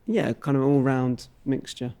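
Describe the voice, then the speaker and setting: A man's voice: medium pitch, a little slow, warm tone. A man, a folk singer and guitarist, chatting casually in an interview in a hesitant, understated way. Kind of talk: relaxed interview chat.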